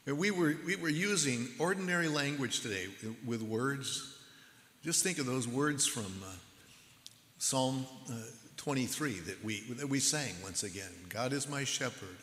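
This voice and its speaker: A man preaching a sermon as pastor in a church, with a measured, earnest delivery. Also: medium pitch, fairly bright tone. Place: church sanctuary. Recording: echoey hall with long reverb.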